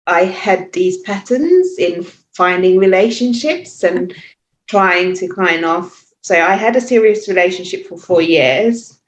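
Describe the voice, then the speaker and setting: A woman from Hungary in her forties, speaking English with a Hungarian accent, reflecting thoughtfully with pauses and fillers as a podcast guest. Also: fairly low pitch. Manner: thoughtful, hesitant, many fillers and restarts. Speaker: podcast guest, yoga teacher. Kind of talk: reflective interview talk. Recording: echoey, muffled remote audio.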